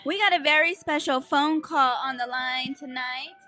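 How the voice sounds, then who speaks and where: A radio host woman with a somewhat raised pitch keeps things upbeat and slangy. She introduces and questions her guest casually. Clear music runs under her voice. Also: normal speed. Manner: upbeat, slangy shout-outs. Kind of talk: hip hop radio interview.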